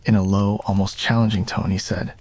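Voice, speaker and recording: A man with a fairly low voice narrates a romantic story in ASMR style. The delivery is scripted storytelling, read as a roleplay. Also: level tone, a little fast, a little mellow.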